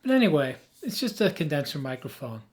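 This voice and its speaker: A man who has lost his voice, hoarse, giving an emphatic, rambling off-the-cuff tech explanation as a video host. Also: a little high, big pitch swings, brisk. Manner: emphatic, rambling, with frequent restarts.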